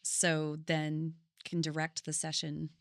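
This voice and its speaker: Middle-aged woman guest with a lowish voice, musing thoughtfully in long sentences, dry recording. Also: monotone, takes it slowly, clean tone, dry recording. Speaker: podcast guest, singer and vocal coach. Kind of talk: reflective conversational storytelling.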